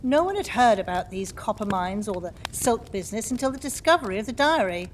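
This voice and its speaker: A woman's voice: medium pitch, big pitch swings, a little fast, lightly acted. A woman acting a touchy, outspoken Victorian lady in an audio play, emphatic and forthright. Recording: faint background noise.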